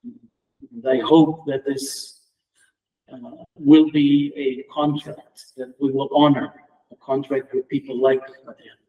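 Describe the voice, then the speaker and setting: A middle-aged man from Romania with a Romanian accent, a native Romanian speaker giving a reflective memorial tribute as an English department lecturer, measured and halting with restarts. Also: medium pitch, little pitch movement, distant mic, phone-quality sound. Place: lecture room at a memorial round table.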